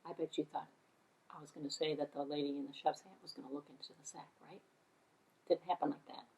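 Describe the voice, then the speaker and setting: A woman puppeteer telling a children's story in an animated, deliberate way, with repetition and conversational asides. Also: low voice, gravelly, low-quality recording.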